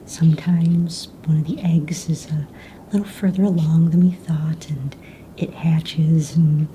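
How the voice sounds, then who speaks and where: A woman playing a calm, businesslike young fantasy egg hunt official in a breathy, soft-spoken ASMR roleplay, casual and matter-of-fact. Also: deep voice, measured pace, a little hiss or hum. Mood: calm, polite. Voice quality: breathy, whispery.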